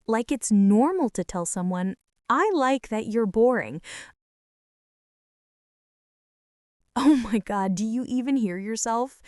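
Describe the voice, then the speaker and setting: A woman playing a shy, quiet, self-doubting high school girl in an ASMR roleplay, speaking softly and hesitantly. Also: dry recording, clean studio sound. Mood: flustered disbelief. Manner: soft, hesitant, flustered, often breaking into breathy whispers.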